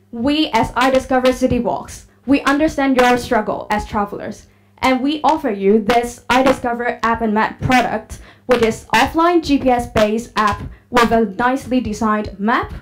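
A young woman gives an upbeat pitch presentation on a conference stage, putting questions to the audience through a handheld microphone. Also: noisy background. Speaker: startup presenter. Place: large conference hall on stage.

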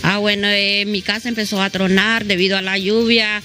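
A woman's voice: mid-range voice, flat delivery, moderate pace, bright, forceful tone, slight background noise. A middle-aged woman with a southern Honduran accent giving spontaneous interview testimony, repeating phrases and adding tag questions, into a handheld microphone. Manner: repeats phrases, often adds "¿verdad?". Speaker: local resident being interviewed. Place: at her house, open air. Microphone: handheld reporter microphone held toward her.

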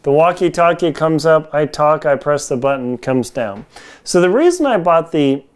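A man's voice: fairly high pitch, lively intonation, moderate pace, clean tone. A man in his forties giving a casual, conversational product review to camera in informal, slangy language, recorded on a lapel mic in a home kitchen. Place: small home kitchen, indoors.

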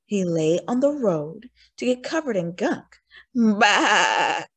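Animated, unhurried woman reading a storybook. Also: mid-range voice, breathy edge. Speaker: storybook reader. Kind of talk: expressive children's read-aloud narration.

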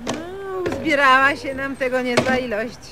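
A woman's voice: sits high, a little slow, heavy background noise. A woman playing a coarse, cheerfully violent wife in a comedy radio play, matter-of-fact about her threats. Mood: menacing, nagging. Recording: radio comedy with audience behind.

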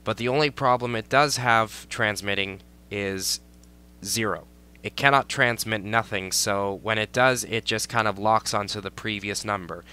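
A man's voice: lowish voice, moderate pace, pushed and bright, smooth. A young man giving a step-by-step game building tutorial as a voice-over, his voice a little off from a recent cold. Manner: step-by-step instructional, repeats "you're gonna wanna". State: recovering from a cold. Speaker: tutorial creator.

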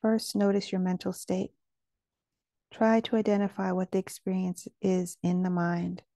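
A woman guiding a meditation in a gentle, calming manner. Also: on the low side, moderate pace, vocal fry, dry recording, studio quality. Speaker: meditation guide. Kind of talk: guided meditation narration.

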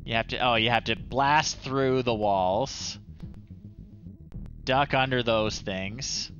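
A man's voice: medium pitch, takes it slowly, a bit bright, lo-fi sound. A middle-aged man giving casual, wry commentary on a live retro game stream. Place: small room indoors. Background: retro chiptune game music.

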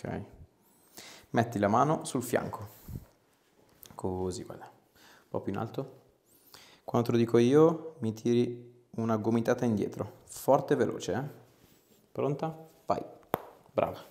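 A man in his thirties working as a chiropractor, giving calm, casual, reassuring instructions and short questions to a patient. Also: medium pitch, unhurried, long pauses. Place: small clinic treatment room.

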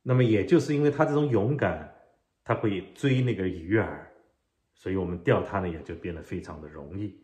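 A middle-aged man teaching a fishing technique in an unhurried way, explaining step by step with pauses, filmed at home indoors. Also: deep voice, warm tone. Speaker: fishing channel host. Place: home living room, indoors. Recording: roomy echo.

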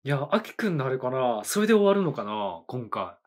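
A man's voice: mid-range voice, big pitch swings, brisk. A man in his thirties hosting an anime reaction video, musing aloud with short reactions and laughs in a small indoor studio. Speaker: anime reaction channel host. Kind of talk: casual reaction commentary.

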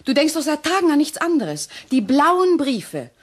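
A woman's voice: high-pitched, big pitch swings, moderate pace. An actress playing an anxious, insistent woman in a crime radio play, urgent and pleading as she probes. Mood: confronting. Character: anxious, insistent female housemate, caring and probing.

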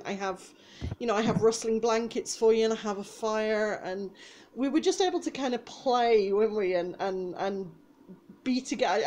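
Young woman, fairly high voice, actor reflecting casually, storytelling, video call. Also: darkish tone. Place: small indoor room with blue backdrop.

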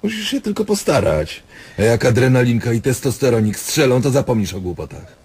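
An actor playing a diabolical, sarcastic doctor who taunts his patient theatrically in a husky voice, in a radio drama. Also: mid-range voice, sing-song, fairly quick, darkish tone, slight background noise. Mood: mocking, goading.

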